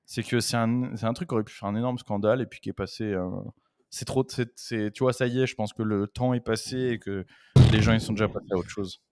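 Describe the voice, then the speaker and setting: A man's voice: fairly low pitch, fairly even intonation, fast, a little mellow, clean tone. A man as a guest on a casual live chat, rambling with fillers and blunt slang.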